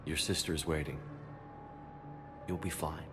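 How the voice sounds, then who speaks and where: A man playing a steadfast military commander in a video game, speaking in a measured, earnest, terse way. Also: rumbling low, steady tone. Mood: gentle support. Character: steadfast male military commander, a resistance-minded space hero. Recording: background music under dialogue.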